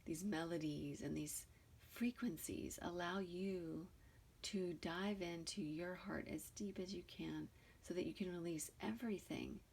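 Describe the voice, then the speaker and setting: Slow, low-voiced woman guiding meditation. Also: fairly even intonation, creaky, darkish tone, husky. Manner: soothing, deliberate, with long pauses between short phrases. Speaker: guided meditation leader. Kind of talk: guided meditation.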